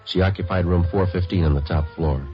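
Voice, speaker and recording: Casting: actor as deadpan 1950s radio police detective, deep voice, rapid matter-of-fact delivery. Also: male voice, lightly acted. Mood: flat, procedural. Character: terse, methodical male police detective who narrates his cases. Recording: dull vintage radio recording with music.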